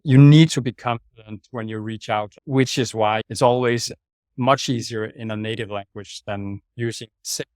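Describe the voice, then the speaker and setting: A man's voice: mid-range voice, steady tone, moderate pace, darkish tone, dry recording. A man with a Danish accent, a sales manager giving direct, plain-spoken answers as an interview guest over a video call. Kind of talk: casual interview talk.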